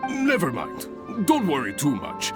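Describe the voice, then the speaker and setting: An actor playing a hearty, encouraging guild examiner in a video game, with a jovial, theatrical manner. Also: male voice, a little high, wildly swooping pitch, measured pace, music in the background. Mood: let down, consoling. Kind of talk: video game character acting.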